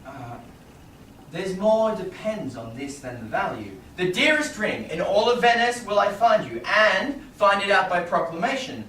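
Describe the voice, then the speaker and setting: A man playing an earnest, grateful young gentleman in a Shakespearean stage reading. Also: high voice, very animated, unhurried, full character voice. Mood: reluctant, pleading. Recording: distant echoey small theatre, background noise.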